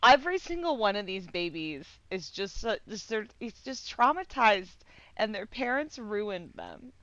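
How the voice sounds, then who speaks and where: A woman giving casual live game commentary, excitable and giggly, swearing and meandering as she thinks aloud. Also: medium pitch, measured pace, no room sound, dull audio. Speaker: game streamer.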